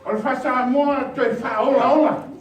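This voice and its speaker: A male pastor with a high voice preaches a revival sermon, drifting between quiet remarks and rousing calls to the congregation. He sounds distant from the microphone, and the hall rings with echo. Steady background noise sits underneath. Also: normal speed. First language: Samoan. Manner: rousing, swings from soft asides to shouted exhortation. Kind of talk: revival sermon with bilingual asides. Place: church hall.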